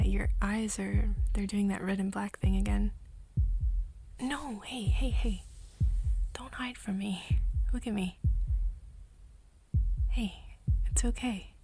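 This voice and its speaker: A woman playing a caring, teasing young friend of a vampire in an ASMR roleplay, speaking softly and haltingly with whispery breath. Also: medium pitch, slight background noise. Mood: soothing, coaxing. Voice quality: soft, breathy, often dropping into whispers. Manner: hesitant, halting, gently coaxing. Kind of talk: ASMR roleplay, intimate second-person acting.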